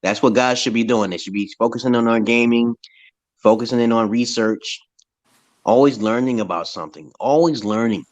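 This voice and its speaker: A man with a deep voice in casual, opinionated chat, talking in a loose, slangy way and laughing often. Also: moderate pace. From United States. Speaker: conversation partner in an English chat.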